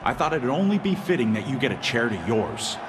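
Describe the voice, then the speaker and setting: A man's voice: medium pitch, big pitch swings, brisk, close to an everyday voice. An actor playing a cocky, theatrical champion wrestler in a video game, talking in slangy showman style. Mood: menacing. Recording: noisy arena ambience behind voice.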